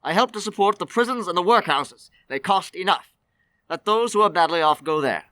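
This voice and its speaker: A grumpy old miser in a radio drama, played by an actor, with a bright, biting voice. He is curt and dismissive, grumbling with cold scorn at everyone around him. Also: medium pitch, lively intonation, brisk. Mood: cold, scornful.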